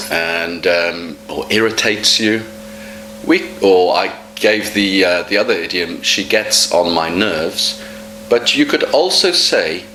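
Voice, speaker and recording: A man teaching English in a relaxed, casual spoken lesson, humming the gaps for learners to fill. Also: on the low side, poor audio. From Wales.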